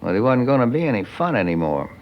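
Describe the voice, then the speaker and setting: A man's voice: mid-range voice, sing-song, quick pace. A man in a documentary interview, speaking emphatically and repeating himself. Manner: repetitive, emphatic. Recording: slightly dull recording.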